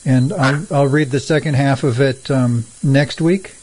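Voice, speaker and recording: A man hosting a casual interview, asking unhurried, curious follow-up questions. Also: mid-range voice, a little mellow, slight background noise. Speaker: radio show host.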